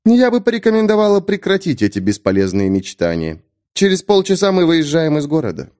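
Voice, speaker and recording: A man voicing a calm, condescending, centuries-old female vampire in an audiobook. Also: fairly high pitch, a little fast, voice pushed up. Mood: soft, commanding. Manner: calm, soft, lazily superior.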